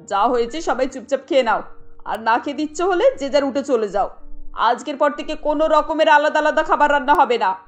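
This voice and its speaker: A high-voiced, bossy mother-in-law from a family cartoon, acted by a woman. She scolds in exasperation and hands out orders like the head of the household, with music playing behind her. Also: lively intonation, a little fast. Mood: scolding, exasperated. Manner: scolding, commanding.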